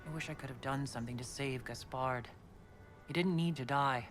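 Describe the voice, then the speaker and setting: An actress playing a calm, resolute female leader in a fantasy video game, speaking in a direct and measured way. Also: rumbling low. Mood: soft. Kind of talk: video game cutscene acting. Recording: loud music behind the voice.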